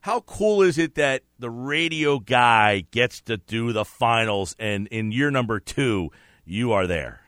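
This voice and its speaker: A man's voice: medium pitch, expressive, slow pace, a bit bright, no room sound, studio quality. A man hosting a sports podcast, asking questions in a conversational interviewing style.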